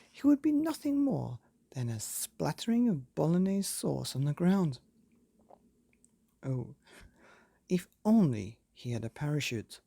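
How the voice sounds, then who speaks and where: A man hosting and reading a children's bedtime story, with theatrical, dramatic emphasis and drawn-out words. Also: sits high, gravelly, dark tone, breathy edge, no room sound. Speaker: podcast host and story reader.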